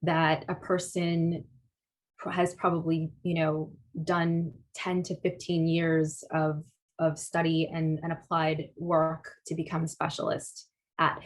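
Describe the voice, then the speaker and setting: A woman's voice: sits low, completely flat delivery, takes it slowly, poor audio. A woman artist answering audience questions at a talk, thoughtful and hesitant, with many fillers and restarts. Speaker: guest speaker, artist.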